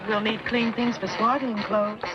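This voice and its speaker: Kindly frontier aunt played by an actress, muffled. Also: female voice, fairly high pitch, level tone, moderate pace, close to an everyday voice. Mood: gentle, caring. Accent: American. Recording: muffled old film soundtrack, loud music.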